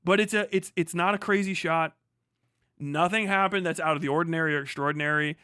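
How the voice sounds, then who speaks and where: A man's voice: on the high side, fairly even intonation, fairly quick, pushed and bright, smooth, no echo, clean studio sound. A middle-aged man giving casual, rambling reaction commentary as a livestream host, full of slang and swearing. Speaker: livestream host, former Marine.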